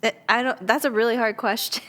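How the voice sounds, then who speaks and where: A woman's voice: a little high, normal speed, fairly bright tone. A woman speaking as a guest actor in a casual interview, informal, playful and a little hesitant.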